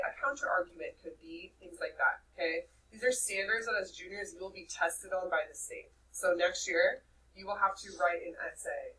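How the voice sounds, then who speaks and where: A young woman with a nasal voice teaching a high school English class, lively and checking in with her students. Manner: lively, checks in with "okay?" often. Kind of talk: classroom lecture. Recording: distant mic with faint room noise.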